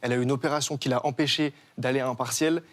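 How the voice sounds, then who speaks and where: A man's voice: mid-range voice, steady tone, rapid-fire. A young man, guest on a TV talk show, explaining his charity with many fillers and restarts. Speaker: guest, association co-founder. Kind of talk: TV studio interview.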